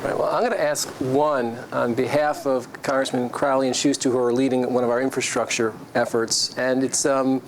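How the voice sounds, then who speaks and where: A man moderating a live event, putting questions in an informal, somewhat halting conversational way. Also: mid-range voice, flat delivery, background noise.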